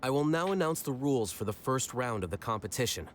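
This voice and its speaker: A man's voice: mid-range voice, animated, fast talker, lightly acted. A man voicing a formal, orderly festival announcer in an anime video game. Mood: formal, official.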